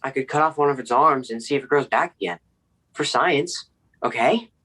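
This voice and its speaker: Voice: mid-range voice, moderate pace, fairly bright tone, natural voice. An actor playing an eager, bored school-age child in a stage play, casual and pleading.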